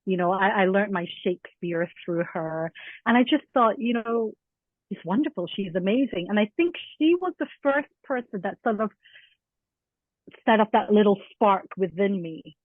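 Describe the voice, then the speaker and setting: A woman's voice: medium pitch, lively intonation, moderate pace, dry recording. A woman with a mild Edinburgh Scottish accent tinged with American, chatting in an interview with frequent fillers and laughs. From Scotland. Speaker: guest teacher. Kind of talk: casual conversational interview. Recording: tinny phone-like line.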